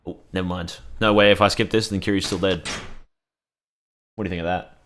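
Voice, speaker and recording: Low-voiced man doing irreverent gameplay commentary. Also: steady tone, a little fast, a bit bright, a little hiss or hum. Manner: irreverent, profane, rambling. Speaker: gaming video creator.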